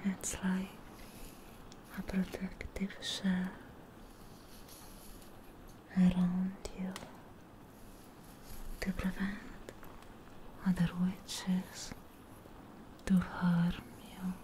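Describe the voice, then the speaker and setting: A young woman in an ASMR fantasy roleplay as a charming, eerie witch, whispering breathily in a deliberate, soothing way, close to the mic. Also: fairly low pitch, monotone, noisy background. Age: young adult. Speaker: ASMR performer.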